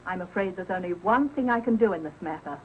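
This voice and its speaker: An actress playing a strict, prim night-school teacher with a formal, authoritative manner in a vintage sitcom. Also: female voice, on the low side, expressive, quick pace, natural voice. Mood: stern. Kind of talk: 1940s television sitcom acting. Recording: muffled, hissy old 1940s broadcast.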